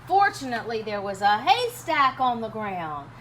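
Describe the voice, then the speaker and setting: Expressive woman reading storytime, very lively pitch. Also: moderate pace. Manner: expressive, dramatic, chatty with young listeners. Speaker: teacher reading a children's picture book aloud. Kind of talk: animated storytime read-aloud with commentary and questions. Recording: clearly noisy background.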